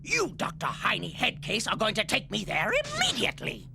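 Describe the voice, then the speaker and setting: A vain ghost despot from a cartoon, swooping wildly from growl to shriek. The voice is gravelly and pompous, spitting theatrical insults over prominent background music. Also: medium pitch, wildly swooping pitch, fairly quick, heavily acted. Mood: scornful fury. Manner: pompous, alliterative insults, exclamatory. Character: vain, tantrum-prone ghost tyrant plotting revenge. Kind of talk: theatrical cartoon villain acting.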